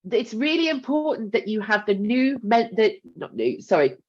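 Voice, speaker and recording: A woman's voice: on the high side, big pitch swings, normal speed, muffled recording. A woman, a university mentoring lead, chats reassuringly through a recorded training talk with a congested, blocked-up voice. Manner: chatty, reassuring, with frequent ums and asides. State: congested from a cold. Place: home. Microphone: headset.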